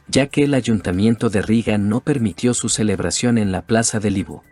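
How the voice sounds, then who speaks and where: A man with a fairly low voice reads a documentary script in an even, measured delivery. Faint music plays beneath him throughout. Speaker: narrator.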